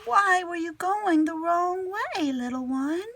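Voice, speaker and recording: A woman's voice: very high voice, expressive, slow pace, fairly bright tone, no echo, pitched above the performer's own voice, exaggerated voice. A woman voicing a helpful, knowing young schoolgirl in a storybook, earnest and caring. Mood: concerned. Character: helpful, knowing schoolgirl classmate, concerned for baby animals.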